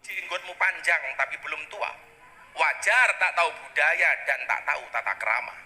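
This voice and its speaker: Indonesian male orator, high and forceful, dramatic accusatory verse delivery with swooping pitch, in a reverberant clip. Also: lively intonation, normal speed. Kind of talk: declaimed satirical verse. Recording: played-back clip, reverberant, faint music.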